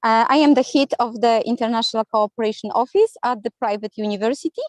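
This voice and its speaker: A woman's voice: fairly high pitch, quick pace, no echo, clean studio sound. A woman who heads a university office, introducing herself politely and formally while searching for words. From Poland. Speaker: university international office head. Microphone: video call.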